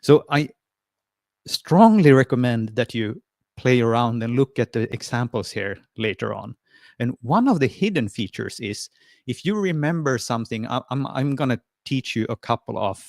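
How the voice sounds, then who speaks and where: A man's voice: mid-range voice, animated, a little slow, creaky, dry recording, studio quality. A man from Sweden, presenting a friendly, hesitant screen-share tutorial over a video call, with lots of fillers and restarts. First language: Swedish. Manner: friendly and encouraging.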